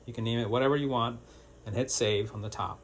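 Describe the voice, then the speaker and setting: A man's voice: mid-range voice, fairly even intonation, fast talker, a little hiss or hum. A man presenting an informal step-by-step product tutorial in a plain-spoken, hands-on, instructional way.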